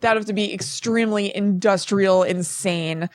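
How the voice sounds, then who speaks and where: A woman's voice: medium pitch, steady tone, normal speed, a little mellow, no room sound, clean studio sound. A woman co-hosting a podcast in a casual, chatty and emphatic conversational way. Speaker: podcast co-host. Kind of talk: casual chat about spooky legends.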